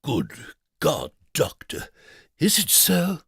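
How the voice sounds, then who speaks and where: A man puts on a pompous male aristocrat in a comic audio story, desperate and easily outraged. His gravelly, creaky voice draws words out slowly and swings wildly in pitch. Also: huge pitch swings, fairly bright tone, no room sound, clean studio sound, full character voice. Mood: anxious disbelief. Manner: melodramatic, indignant, exclamatory.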